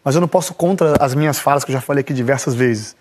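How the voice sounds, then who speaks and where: Husky Brazilian man in his thirties. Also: mid-range voice, very fast, darkish tone. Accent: Brazilian Portuguese. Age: 30s. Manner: emphatic, repeats his points. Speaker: football pundit.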